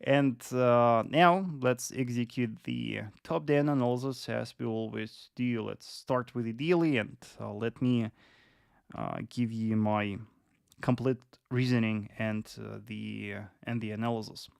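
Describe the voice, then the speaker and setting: Slow, gravelly man explaining on a livestream. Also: mid-range voice, fairly even intonation, no echo, studio quality. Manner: deliberate, repeats phrases for emphasis. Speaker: live trading stream host and analyst. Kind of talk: live market analysis, explanatory.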